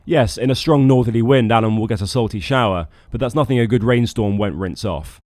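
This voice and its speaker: Casting: British male skipper-vlogger with a lowish voice, wry delivery of scripted narration, recorded very dry. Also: brisk, darkish tone, dry recording.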